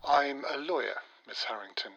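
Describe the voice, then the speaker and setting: A man's voice: mid-range voice, normal speed, gravelly, pushed and bright, highs cut off. A man playing a courteous, evasive older family solicitor in an audio drama, speaking formally and a little hesitantly. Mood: formal, delicate. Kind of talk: naturalistic audio drama acting.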